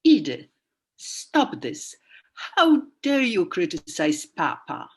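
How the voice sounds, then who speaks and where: Actress as a slow-speaking widowed mother. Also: fairly low pitch, huge pitch swings, slightly rough, full character voice. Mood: stern. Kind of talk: radio play acting.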